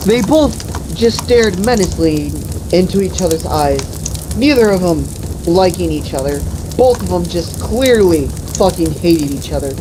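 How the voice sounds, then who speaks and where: A woman telling an improvised comic fantasy story among friends in a deliberate, profane, mock-epic manner. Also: lowish voice, lively intonation, background noise. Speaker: storyteller and host.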